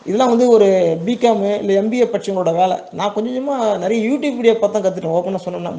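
A man with a high voice and a soft, mellow tone walks through a tutorial informally. He speaks fast in a Tamil Nadu accent. His manner is plain and reassuring, often checking that listeners follow. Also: gravelly, dull audio. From Tamil Nadu, India. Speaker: export business owner giving a tutorial.

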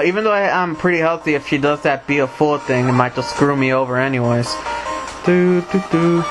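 Grumbling Mexican-accented gamer, higher voice. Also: male voice, rough recording. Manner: grumbling, talks to the game characters, hums and repeats himself. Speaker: let's play commentator. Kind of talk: casual gameplay commentary. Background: retro game music.

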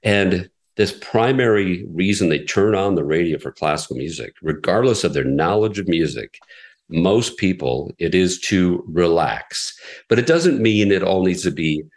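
A middle-aged man, a radio station executive, giving measured, explanatory interview answers with frequent restarts. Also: low voice, lively intonation. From United States. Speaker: radio station president, guest.

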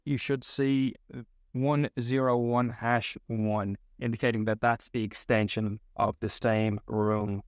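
A man giving a step-by-step software tutorial as a tech support instructor. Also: medium pitch, no echo, studio quality.

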